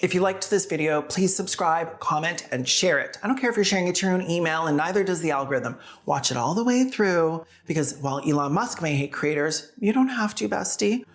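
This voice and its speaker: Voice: mid-range voice, lively intonation, brisk, few pauses. A video essayist and host delivering scripted satirical news commentary in a sarcastic, irreverent, casual manner.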